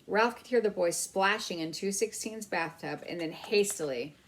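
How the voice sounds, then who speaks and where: A woman reading a children's book aloud as an expressive storyteller. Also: mid-range voice, normal speed, low-quality recording.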